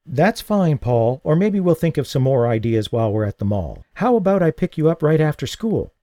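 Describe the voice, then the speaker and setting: A male narrator voicing a kind young woman who sounds gentle and a little hesitant. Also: deep voice, sing-song, moderate pace, no room sound, clean studio sound, voice pushed up. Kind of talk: audiobook reading.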